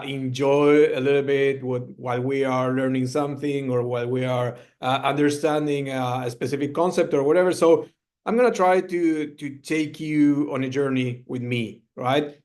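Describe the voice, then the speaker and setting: A middle-aged man from Argentina gives a relaxed storytelling talk over a video call. He often pauses on fillers as he goes, and his voice sits at an ordinary middle pitch. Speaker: guest speaker, founder. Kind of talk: storytelling presentation.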